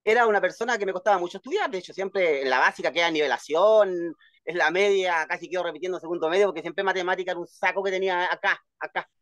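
A man's voice: high voice, quick pace, fairly bright tone, no echo. A man in his fifties with a Chilean accent, telling stories casually as an interview guest, often repeating words. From Valparaíso, Chile. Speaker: guest, poet. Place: at home, video call.

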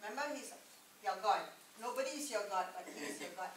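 A woman's voice: medium pitch, lively intonation, fast, fairly bright tone, slight background noise. A middle-aged woman preaching a sermon, repeating key words and calling for "Amen?" from the room, recorded from a distant camera. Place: church meeting room with a projector screen. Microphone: distant camera mic. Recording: echoey room, far from the mic.

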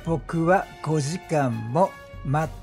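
A man giving chatty, animated gameplay commentary as a gaming streamer, explaining things as he plays and exclaiming at the action. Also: on the high side, extremely slow, soft background music. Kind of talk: excited game commentary and explanation.

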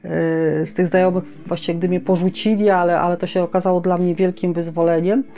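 A woman co-hosting a podcast in casual conversation, halting, repeating words and often checking for agreement. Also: lowish voice, little pitch movement, mellow. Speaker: podcast co-host.

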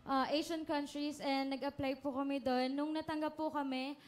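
Polite young Filipino woman, high voice. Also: little pitch movement, brisk. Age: young adult. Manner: polite, earnest, laughs easily. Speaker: pop group member guest. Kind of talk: TV interview answer. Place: TV studio set. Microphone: handheld.

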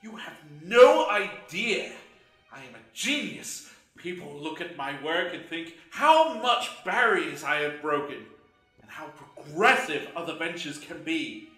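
A man acts out a boastful, gloating villain scientist in an audio drama. His pompous voice is bright and forceful, swinging widely in pitch, in a roomy echo. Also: sing-song, measured pace, full character voice. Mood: grandiose. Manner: pompous, monologuing. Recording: roomy echo with music behind.

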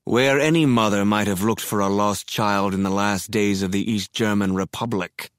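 A woman voicing a smooth-voiced, menacing male kidnapper in an audiobook, purring and icy. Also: rumbling low, steady tone, no room sound, studio quality, pitched below the performer's own voice. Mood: icy menace. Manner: icy, slow and threatening. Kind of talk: audiobook character voice.

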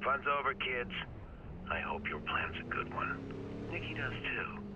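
An actor playing a smug, menacing criminal in a video game, mockingly polite and deliberate, over a phone line. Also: male voice, mid-range voice, big pitch swings. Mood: smug taunting. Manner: taunting, deliberate. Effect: phone call filter. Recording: muffled phone line, loud road noise.

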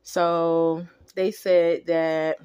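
A woman's voice: lowish voice, flat delivery, very slow, darkish tone, smooth. A woman talking casually and colloquially in a home food vlog, filming her dinner on a phone at a kitchen counter. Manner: chatty, down-to-earth, colloquial. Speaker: vlogger.

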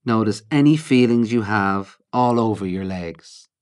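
Man, lowish pitch, very unhurried pace, calm, measured, guided body-scan narration, short instructional phrases. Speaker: mindfulness guide.

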